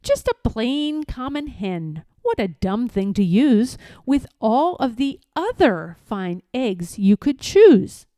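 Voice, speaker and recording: A woman reading a children's story aloud in a theatrical, rhythmic way, as expressive storytelling. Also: a little high, slow pace, few pauses, no room sound, clean studio sound. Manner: theatrical, rhythmic rhyming delivery. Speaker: storybook reader.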